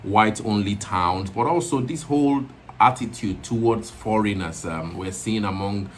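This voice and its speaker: Rambling low-voiced commentary host. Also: male voice, measured pace, slight background noise. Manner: rambling, many fillers and restarts. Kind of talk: video commentary monologue.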